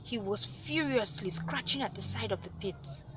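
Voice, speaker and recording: A woman reading a story aloud as host, with animated delivery and dramatic, drawn-out emphasis on words. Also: mid-range voice, background noise. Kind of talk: expressive read-aloud storytelling.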